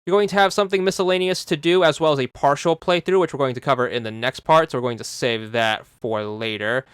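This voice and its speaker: A man's voice: on the high side, fairly even intonation, quick pace, a bit bright, clean tone, dry recording, clean studio sound. A man hosting a game walkthrough, giving casual step-by-step instructions to viewers in a scripted narration style. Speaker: host of a game achievement guide.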